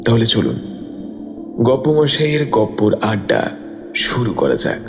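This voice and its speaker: A man presenting a story show with a measured, dramatic scripted introduction. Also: mid-range voice, very animated, background noise. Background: background music.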